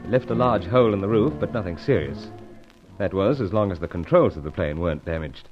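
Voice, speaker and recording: An actor playing a brisk, unflappable British secret agent who narrates his own adventure, with a clipped, stiff-upper-lip delivery, in an old radio serial. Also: sits low, animated, soft background music. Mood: narrating. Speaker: narrator and lead. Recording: dull lo-fi old radio recording.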